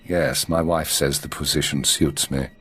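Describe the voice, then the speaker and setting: An actor playing a grave, calculating intelligence minister in a video game, speaking in a measured, formal, husky way. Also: male voice, rumbling low, steady tone, a bit bright, a little hiss or hum. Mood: guarded. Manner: measured, formal, coolly pragmatic. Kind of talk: dramatic game dialogue.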